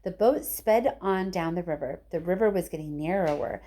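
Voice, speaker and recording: A woman reading a children's book aloud in an expressive storytelling style. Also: fairly low pitch, normal speed, a little hiss or hum. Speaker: storybook reader, teacher.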